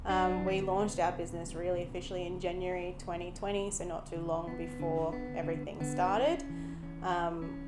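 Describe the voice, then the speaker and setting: An Australian woman in her early thirties giving a personal account in an interview. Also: fairly low pitch. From Brisbane, Australia. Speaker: interviewee, small business owner. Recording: echoey room, music behind. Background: plucked guitar music.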